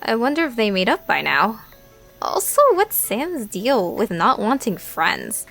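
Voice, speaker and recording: A woman's voice: on the high side, huge pitch swings, unhurried, gravelly, fairly bright tone, soft background music, exaggerated voice. A woman playing a casual, chatty narrator who comments on an audio drama as an invested listener. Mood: dismayed, musing. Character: chatty female narrator, emotionally invested in the story.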